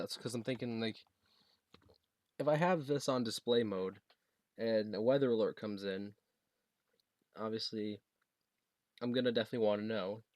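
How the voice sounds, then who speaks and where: A man talking casually and haltingly, thinking aloud as he demonstrates a gadget he is setting up. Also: medium pitch, darkish tone, no room sound. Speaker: product reviewer demonstrating a gadget. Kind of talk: casual unscripted unboxing and setup demo.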